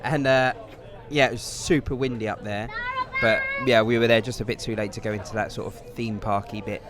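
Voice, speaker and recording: A man's voice: lowish voice, steady tone, fairly quick. A man telling casual anecdotes in conversation, with a dry, self-deprecating sense of humour. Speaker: podcast guest.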